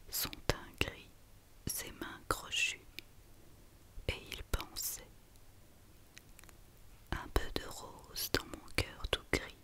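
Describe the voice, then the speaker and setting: A woman whispering a children's story in a breathy, gentle, soothing ASMR reading. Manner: slow, soothing, gentle delivery.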